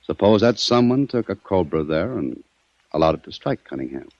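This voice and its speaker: A very low-voiced, shrewd investigator from a vintage radio crime drama. He is commanding and sardonic, swinging widely in pitch as he needles his man. The old recording is dull and dry. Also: big pitch swings, moderate pace, a little mellow, dry recording. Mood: coolly accusing. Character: shrewd, cool-headed male investigator baiting a murder suspect.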